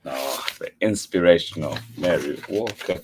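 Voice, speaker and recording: A man's voice: sits low, unhurried, noisy background. An American man hosting a children's online story time, effusively greeting viewers and repeating his thanks. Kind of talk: live stream greetings to viewers before a picture book read-aloud.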